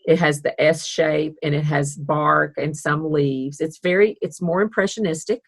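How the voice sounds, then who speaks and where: Woman, low voice, friendly art instructor on a video call, casual and encouraging. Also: fairly even intonation, normal speed. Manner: chatty, self-deprecating, upbeat. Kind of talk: online art class teaching.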